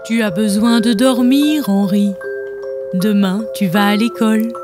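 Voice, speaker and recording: A breezy, indulgent mama bear from a children's storybook, played by a woman narrator. She speaks in a lively, fond way at a medium pitch, with music clearly behind. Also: unhurried, natural voice. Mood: fond, soothing. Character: playful mother bear, breezily indulgent parent. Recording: music bed under the voice.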